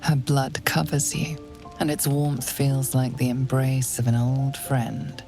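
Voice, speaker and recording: Dark fantasy game narrator: slow, very deep female voice, ominous and deliberate, music underneath. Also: fairly even intonation. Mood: ominous, brooding. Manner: deliberate, ominous, literary phrasing. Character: dark, brooding narrator of a grim fantasy tale, woman. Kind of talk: scripted dramatic second-person game narration. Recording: music behind the voice.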